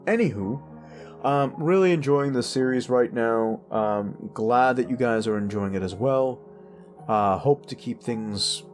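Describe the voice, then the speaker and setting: A man talking casually to his audience as the creator of an audio roleplay channel. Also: mid-range voice. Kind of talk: casual outro addressed to audience.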